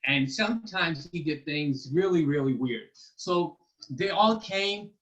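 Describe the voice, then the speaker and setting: A man's voice: a little high, animated, unhurried, a bit bright, muffled recording. A man narrating a family storytime for children in simple words, often checking in with his listeners. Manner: simple wording with check-in questions to young listeners.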